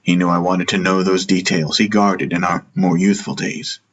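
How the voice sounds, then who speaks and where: A man narrating a first-person fantasy audiobook in a measured, dramatic storytelling style. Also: low-pitched, little pitch movement, muffled recording.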